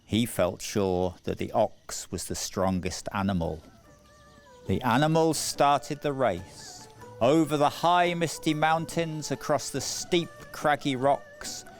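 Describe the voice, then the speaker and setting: Male narrator for a children's folktale: very unhurried, measured, dramatic storytelling, light music underneath. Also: mid-range voice, expressive. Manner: measured, deliberate, dramatic phrasing.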